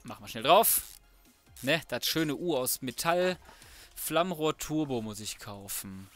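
A man's voice: medium pitch, animated, unhurried, fairly bright tone, faint music behind. A man giving casual, colloquial let's play commentary, muttering to himself as he goes. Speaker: gaming video host.

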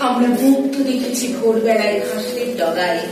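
A woman giving a slow, lyrical poetry recitation. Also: a little high. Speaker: recitation performer. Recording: very echoey, distant, loud music. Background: music.

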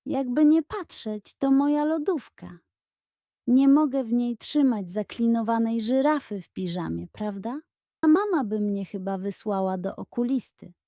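A lively, cheeky little girl from a children's storybook, acted out by a woman. Her voice sits high and she talks in incredulous, colloquial bursts. The sound is close and dry. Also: unhurried, dry recording. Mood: chatty, determined.

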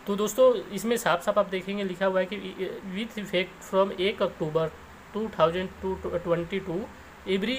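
Male explainer host, slightly high pitch, informal step-by-step delivery, faint background noise. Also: fairly even intonation, normal speed, poor audio.

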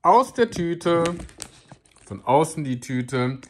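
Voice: mid-range voice, sing-song, takes it slowly, slight background noise. A food vlogger giving a casual, upbeat snack review to camera, chatty and playful, joking about their own pronunciation. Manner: chatty and upbeat, addresses viewers as "ihr Lieben".

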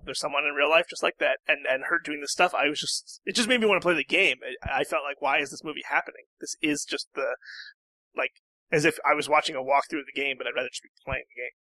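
A man's voice: on the high side, pushed and bright, no echo, rough recording. A man chatting informally and a little ramblingly as a guest in a casual film discussion. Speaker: podcast guest panelist.

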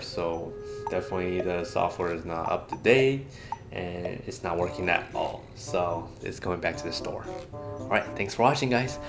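A man in his thirties doing a casual, unscripted gadget unboxing as a vlogger. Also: deep voice. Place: small home dining room, indoors. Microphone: camera on the table or at arm's length. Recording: echoey room, music in the background.

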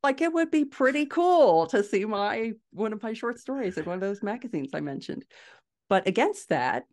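A woman's voice: medium pitch, expressive, normal speed, darkish tone. A middle-aged woman answering conversationally as an interview guest, explaining things and stressing her key points, on a video call from a room at home. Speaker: podcast guest, indie fiction author. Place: small furnished room at home, indoors.

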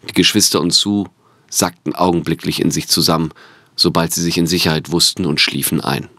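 A man's voice: sits low, fairly even intonation, fairly quick, a bit bright, no room sound. A man reading scripted narration for an audio drama.

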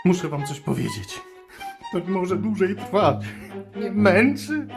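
Woman as anguished, slow-spoken confessor. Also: lowish voice, sing-song, vocal fry, over music, exaggerated voice. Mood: desperate. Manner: anguished, insistent. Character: tormented, desperate character insisting on confessing. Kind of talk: amateur audio drama acting.

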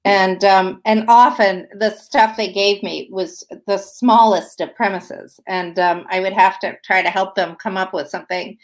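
A woman's voice: mid-range voice, steady tone, unhurried, hardly any pauses. A middle-aged woman leading an online writing workshop, talking in a chatty way with many fillers and restarts, over a webcam. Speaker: writing instructor. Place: room at home. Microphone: webcam video call.

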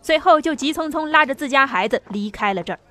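A woman's voice: high voice, lively intonation, fast, sharp tone. A woman narrating a novel as an audiobook, reading a dramatic script. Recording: music under the voice.

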